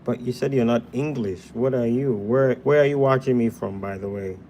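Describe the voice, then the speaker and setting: A man's voice: mid-range voice, moderate pace, dark tone, slight background noise. A young man from Ghana hosting a live drawing tutorial, chatting casually with viewers while he sketches at a desk indoors. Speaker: drawing livestream host.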